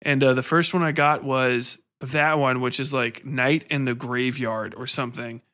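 A man's voice: medium pitch, fairly even intonation, normal speed, bright, forceful tone. A man in his thirties hosting a casual interview, talking in a chatty, informal, anecdotal way. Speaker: podcast host.

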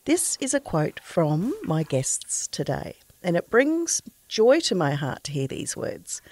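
Female podcast host, Australian accent, lower register, dry close-miked presenting. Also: darkish tone, no room sound. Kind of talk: scripted introduction and friendly interview.

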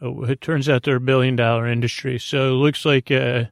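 Male podcast host, heavy vocal fry, rambling bedtime monologue, hesitant, meandering, dry close sound. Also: mid-range voice, level tone, brisk, dry recording. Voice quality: gravelly, creaky. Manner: meandering, hesitant, trailing off into tangents.

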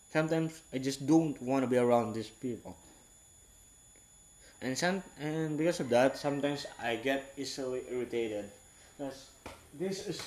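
Young man, 18, from Southeast Asia, non-native English speaker, frank and halting, stops to search for words; casual confessional monologue. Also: medium pitch, darkish tone, poor audio. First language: not English. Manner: halting, rambling, frank, self-correcting.

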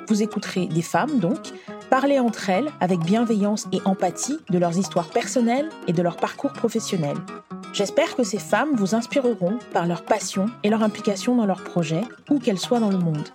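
Woman hosting over music. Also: medium pitch. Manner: measured, reads a prepared intro. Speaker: podcast host. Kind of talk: scripted introduction and interview. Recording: music bed under the voice.

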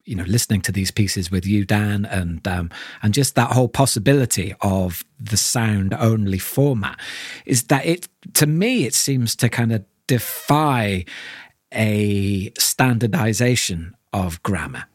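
Husky man, reflective podcast talk, dry sound. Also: on the low side, animated, measured pace, dry recording. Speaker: podcast co-host. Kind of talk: casual analytical discussion.

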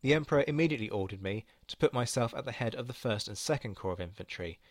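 A man giving a formal, measured reading of a historical letter. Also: mid-range voice, expressive, dry recording, clean studio sound. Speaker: reader of historical accounts.

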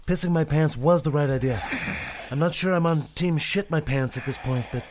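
A man voicing an excitable, foul-mouthed space crewman with blunt speech in an audio comedy skit. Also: on the high side, moderate pace, slight background noise, pitched above the performer's own voice, lightly acted. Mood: awed. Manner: drops articles, blunt exclamations.

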